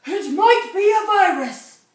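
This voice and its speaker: A woman's voice: very high-pitched, lively intonation, slow, voice pushed up, exaggerated voice. A woman voicing self-important male doctors in a children's storybook, pronouncing diagnoses with emphatic authority. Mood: authoritative. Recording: very echoey room, distant mic.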